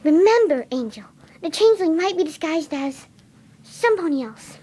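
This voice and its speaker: A woman voicing a timid, gentle, motherly pegasus pony with a nervous, hesitant stammer in a story reading. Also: very high voice, expressive, normal speed. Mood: anxious. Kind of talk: fan fiction dramatic reading.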